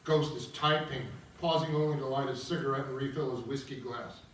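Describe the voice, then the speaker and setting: A man's voice: mid-range voice, fairly even intonation, brisk, a little hiss or hum. A man reading stage directions and explaining an opera to an audience as narrator of a table read. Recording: very echoey room, distant mic, music.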